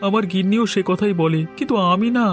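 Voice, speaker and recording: A man's voice: sits high, fast talker, faint music behind, natural voice. A man voicing a thin, meek young farmer in a village cartoon, speaking hesitantly but eagerly. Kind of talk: cartoon voice acting.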